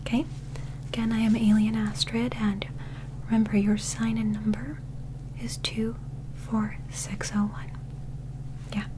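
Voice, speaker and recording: A young woman doing a soft-spoken ASMR medical roleplay in a breathy whisper, gentle, soothing and reassuring, close to the microphone. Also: on the high side, level tone, a little slow, pauses often, noisy background. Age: young adult.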